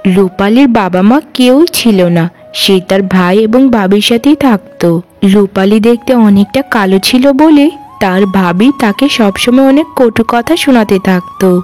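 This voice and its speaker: A woman's voice: medium pitch, measured pace, darkish tone. Narrator: woman, scripted cartoon storytelling, background music. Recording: music under the voice.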